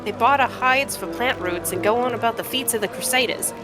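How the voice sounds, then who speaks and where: Woman as an old cave scavenger, female, grasping, scornful, blunt rustic speech, raised pitch, fantasy game, music behind. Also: brisk, lightly acted. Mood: indignant, proud. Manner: rustic, ungrammatical, blunt haggling.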